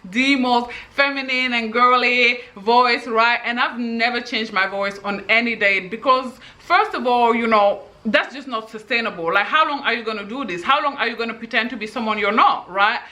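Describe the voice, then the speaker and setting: Bright, husky-voiced woman giving dating advice. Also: fairly high pitch, moderate pace, few pauses. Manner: emphatic, repeats "okay?" to drive points home. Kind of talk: direct-to-camera advice monologue.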